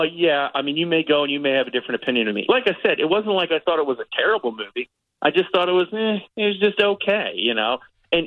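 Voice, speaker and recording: Guy doing casual movie review chat on radio, talks quick, opinionated, dry close sound. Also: fairly high pitch, no room sound, phone-quality sound. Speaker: film critic guest on a radio show.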